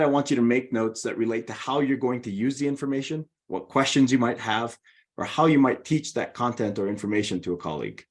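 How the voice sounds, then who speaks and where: Hawaiian-accented man giving a webinar. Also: mid-range voice. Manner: friendly, organized, walks through slides. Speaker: webinar presenter. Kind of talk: presentation webinar.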